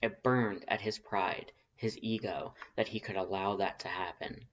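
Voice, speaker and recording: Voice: sits low, measured pace, a little hiss or hum. A narrator reading a scripted fan fiction story aloud. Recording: dull lo-fi recording.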